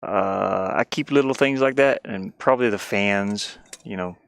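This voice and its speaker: A man's voice: on the low side, measured pace, gravelly, smooth, no echo, clean studio sound. A man live streaming with casual, rambling chat in a scattered, self-deprecating manner. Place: inside a small cluttered van.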